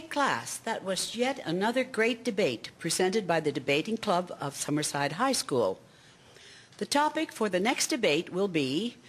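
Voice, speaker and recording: A woman playing a high school teacher leading her class in a radio drama. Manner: brisk classroom authority.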